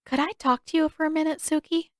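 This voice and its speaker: A blunt teenage girl played by a woman reader in an audio story, pitched above the narration. She is skeptical and unimpressed, answering with matter-of-fact retorts. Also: steady tone, fast, no room sound, studio quality, lightly acted. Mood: questioning.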